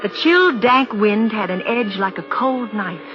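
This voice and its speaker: A woman's voice: medium pitch, lively intonation, a little slow, music in the background, natural voice. An actress playing a rational, insistent woman in an old radio drama, articulate, persuasive and dramatic in her delivery. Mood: tense narration. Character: rational, insistent woman reasoning with a frightened man. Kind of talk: 1940s radio drama acting and narration. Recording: dull, noisy old radio broadcast.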